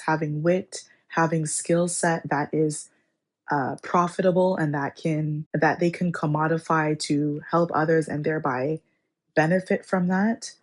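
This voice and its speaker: A woman hosting her channel, raspy from a cold, giving advice in a measured lecturing way that lists points one by one. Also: low voice, a little mellow. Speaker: channel host. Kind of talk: advice monologue to camera.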